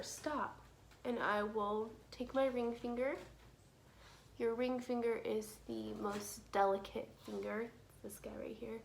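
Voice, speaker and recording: A young woman in her twenties with a cold, chatting casually through a makeup tutorial at home and sometimes whispering softly. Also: a little high, a little hiss or hum. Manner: chatty, drops to soft whispers while applying products. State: congested with a cold. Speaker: beauty vlogger. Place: small room at home, indoors. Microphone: camera in front at close range.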